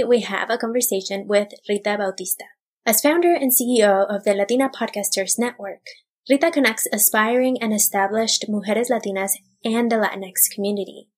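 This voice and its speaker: A woman host opens her bilingual podcast episode with an upbeat scripted introduction. Her voice has a soft, husky grain, and she slips easily between English and Spanish phrases as she reads. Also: medium pitch. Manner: upbeat, even reading with Spanish phrases mixed in.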